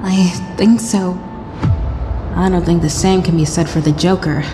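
Female actor for audio-drama photographer: composed, wry, slightly low voice, unhurried and slow. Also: fairly even intonation, music in the background, close to an everyday voice. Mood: calm, wry.

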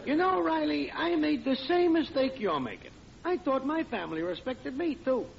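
High-voiced, pompous American factory worker. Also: male voice, expressive, moderate pace, vocal fry, heavily acted. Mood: preachy. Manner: pompous, mangles big words. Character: needling working-class factory co-worker, smug and self-improving. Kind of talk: 1940s radio comedy acting. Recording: noisy, dull vintage radio broadcast.

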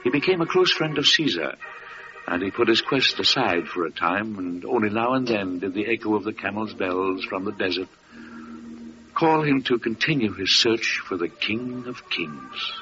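A man's voice: on the low side, lively intonation, normal speed, pushed and bright, faint music behind. A man narrating a classic radio drama in a solemn, scripted storytelling manner. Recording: dull, noisy old radio recording.

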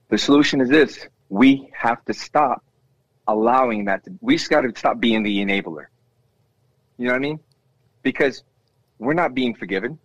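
A man with a bass voice in a casual discussion, talking with passion and repeating himself when he cuts in. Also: no room sound. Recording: dull, dry lo-fi sound.

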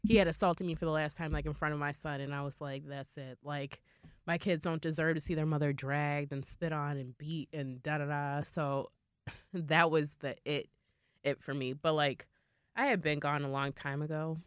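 A woman's voice: low-pitched, fairly even intonation, moderate pace, smooth, no room sound, studio quality. A young adult woman co-hosting a podcast, talking candidly in a casual chat, blunt and swearing freely with lots of "like" fillers. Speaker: podcast co-host.

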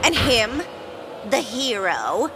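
A woman's voice: fairly high pitch, very animated, slow pace, loud music behind the voice, heavily acted. A woman playing an imperious, short-tempered arena villain in a comedic audio drama, haughty and commanding. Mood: exasperated.

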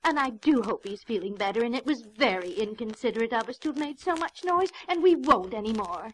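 A woman's voice: on the high side, lively intonation, fairly quick, natural voice. An actress playing an anxious, eager, pleading young woman in an old-time radio drama, fretful and prone to repeating herself. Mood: flustered. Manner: fretful, repetitive, stammering. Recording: slightly noisy old radio recording.

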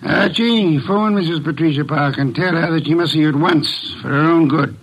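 An actor playing a huge, imperious, lazy genius detective in a vintage radio drama, pompous, formal and curt. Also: male voice, fairly high pitch, normal speed, husky, low-quality recording. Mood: curt, probing.

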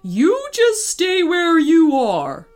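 A woman's voice: very high voice, wildly swooping pitch, extremely slow, soft background music, pitched above the performer's own voice, heavily acted. A woman voicing a wary, bossy red panda guard in a children's storybook, snappy and drawing out each command. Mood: nervous challenge.

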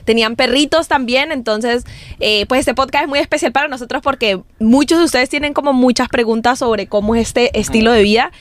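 A female podcast co-host with a fairly high voice. She introduces her guest in a casual, enthusiastic manner. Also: a little fast. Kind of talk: casual chat.

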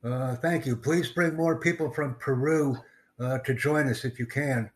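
A man's voice: mid-range voice, fairly even intonation, unhurried. A man hosting a live online English class over a video call, upbeat and repetitive, dropping in simple Spanish greetings. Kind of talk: casual live-stream chat.

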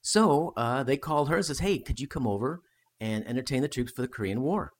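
A man's voice: mid-range voice, lively intonation, a little fast. Man chatting casually on a podcast. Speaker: podcast guest. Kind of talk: casual chat, storytelling about history.

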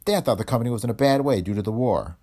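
A man's voice: mid-range voice, expressive, rapid-fire, dark tone, voice pushed up. A man voicing an indignant, loyal young woman in a hardboiled detective story, earnest and defensive. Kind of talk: hardboiled detective audiobook dialogue.

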